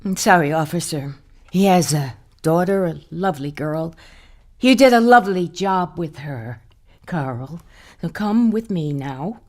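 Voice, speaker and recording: A slow-spoken, exasperated older wife. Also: female voice, fairly low pitch, animated, darkish tone, no echo, clean studio sound. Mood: firm, urgent. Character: exasperated, ashamed older wife of a zealous husband. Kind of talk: naturalistic audio drama acting.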